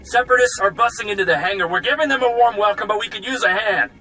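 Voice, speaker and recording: An actress playing an urgent, commanding soldier in a video game. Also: female voice, mid-range voice, fast talker, sharp tone, slight background noise, lightly acted, low-quality recording. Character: urgent female soldier under attack, commanding.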